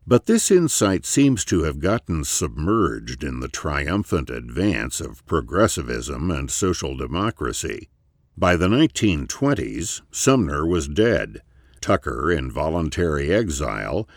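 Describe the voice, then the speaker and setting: A man narrates a written essay in an extremely low, gravelly voice. His phrasing is measured and deliberate, with lively rises and falls that land on stressed words. The sound is close and dry. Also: no echo. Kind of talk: scripted narration of a political essay.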